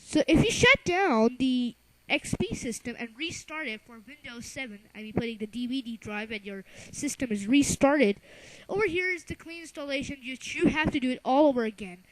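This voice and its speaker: A presenter giving an informal narrated software lesson, often stumbling and correcting themselves along the way. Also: sits high, lively intonation, dry recording, poor audio. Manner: halting, with stumbles and self-corrections. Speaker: tutorial presenter.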